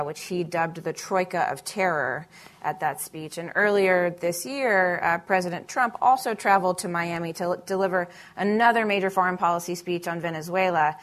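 A woman speaking as a panelist in a discussion, measured and analytical, with frequent fillers and restarts. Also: fairly low pitch, a bit bright.

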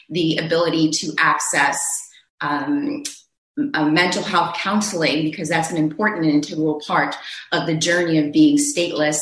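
A man's voice: a little high, sharp tone, echoey room, rough recording. A man speaking earnestly on a panel discussion, telling his own story, often restarting his phrases. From Zambia.

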